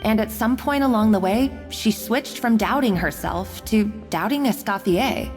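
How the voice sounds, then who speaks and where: A woman's voice: medium pitch, normal speed, in a large hall, faint music behind, close to an everyday voice. A woman voicing an anxious, apologetic young kitchen worker in a video game, earnest and hesitant in her delivery. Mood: earnest, explanatory.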